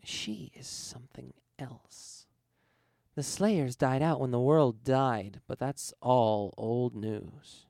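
A man's voice: mid-range voice, slow pace, creaky, warm tone, no echo, clean studio sound. A man voicing an ancient, seductive female-sounding evil spirit with a coaxing drawl in an audiobook story.

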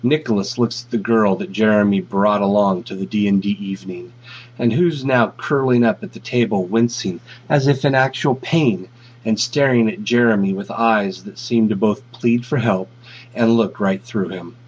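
A man narrates a story from a script. His voice sits on the low side and has a husky grain. The recording is dull and lo-fi. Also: normal speed. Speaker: fan fiction reader. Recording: dull, lo-fi audio.

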